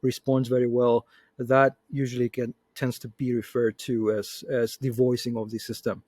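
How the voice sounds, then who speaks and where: A man giving a methodical, measured technical explanation as a product manager on a panel. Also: mid-range voice, flat delivery, a little mellow, no echo, clean studio sound.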